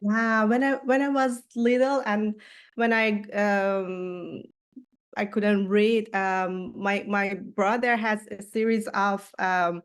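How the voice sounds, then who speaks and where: A woman from Iran, a children's book illustrator, answering interview questions with many pauses and fillers. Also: medium pitch, fairly even intonation, clean tone. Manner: hesitant, many ums and uhs. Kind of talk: casual interview.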